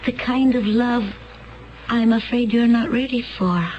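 A woman's voice: fairly high pitch, measured pace, close to an everyday voice. An actress playing a fervent, troubled woman from another planet in a 1970s radio drama, speaking earnestly in formal phrases. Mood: calm, resolved. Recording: muffled, noisy 1970s radio broadcast.

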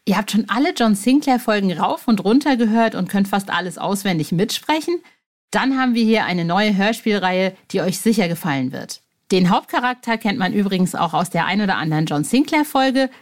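A woman's voice: mid-range voice, expressive, a little fast. A woman announcer reading scripted promotional narration addressed to listeners. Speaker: advertising announcer.

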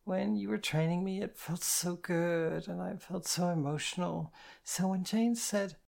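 A reader voicing a tipsy, tearful young woman who speaks in slow, halting, soft phrases and stumbles over her words. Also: medium pitch, creaky, pitched above the performer's own voice. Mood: pleading, drowsy. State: drunk and weepy.

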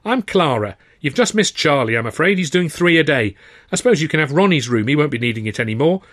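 A man voicing a cheerful, chatty little landlady in a read-aloud story, brisk and motherly. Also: fairly high pitch, animated, a bit bright, dry recording, clean studio sound, pitched above the performer's own voice. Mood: cheerful chatter.

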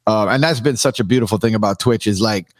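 Man from Canada, DJ guest, raspy voice, eager storyteller, dry close mic. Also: fairly low pitch, quick pace, no room sound. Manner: enthusiastic, animated storytelling. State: raspy from long streams. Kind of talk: candid podcast conversation.

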